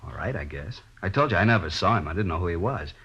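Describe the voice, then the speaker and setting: A man playing a bewildered, protective middle-aged father in a radio drama, plain-spoken and defensive, with a husky voice. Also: low voice, animated, fast, a bit bright, heavily acted. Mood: subdued, cooperative. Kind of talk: 1950s radio drama acting. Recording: muffled old-time radio recording.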